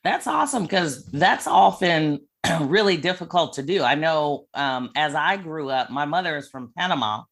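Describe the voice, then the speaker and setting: A woman's voice: low-pitched, animated, normal speed, hardly any pauses, a bit bright. A middle-aged woman hosting a podcast interview, casual and encouraging with her guest. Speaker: podcast host.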